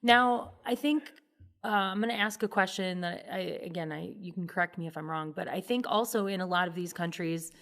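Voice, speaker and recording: A woman's voice: fairly low pitch, a little fast, fairly bright tone. A woman hosting a conversational interview, agreeing in short affirmations and rephrasing points as she asks questions. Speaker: interview host.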